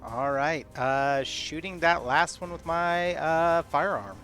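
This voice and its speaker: A man's voice: on the high side, a bit bright, faint music behind. A man playing a tabletop game, describing his character's actions hesitantly with many fillers. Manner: slow, hesitant, full of filler words. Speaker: player. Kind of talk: casual tabletop play.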